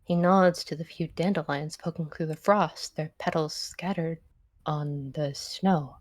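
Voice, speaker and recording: A woman's voice: low-pitched, steady tone, measured pace, dry recording. A woman voicing a reserved, curious traveler who narrates a fantasy visual novel. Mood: subdued, reflective. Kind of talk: visual novel character reading. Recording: music behind the voice.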